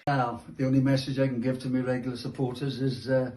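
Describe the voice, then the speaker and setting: A man speaks a heartfelt video message to supporters in a roomy, echoing space. His voice is mellow and stays very even in pitch. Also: fast. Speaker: pantomime group member addressing supporters.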